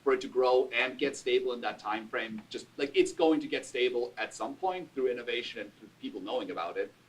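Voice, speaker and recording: A man's voice: mid-range voice, fairly quick. A man on a panel giving his views in a casual way, with lots of "like" fillers. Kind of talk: panel discussion. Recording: distant room mic.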